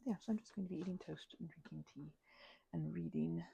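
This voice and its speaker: A woman rambling casually as an ASMR host, mumbling in a low-key, musing way, her voice hushed and often slipping toward a whisper. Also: wildly swooping pitch, a little fast, vocal fry, breathy edge, dull audio. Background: keyboard clicking.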